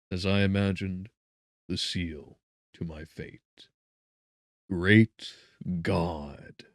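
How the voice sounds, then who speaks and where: Real deep guy reading a gothic audiobook, solemn and theatrical, dry close sound. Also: fairly bright tone, no room sound. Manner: solemn, theatrical, deliberate emphatic phrasing. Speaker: audiobook narrator. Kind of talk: dramatic literary audiobook narration.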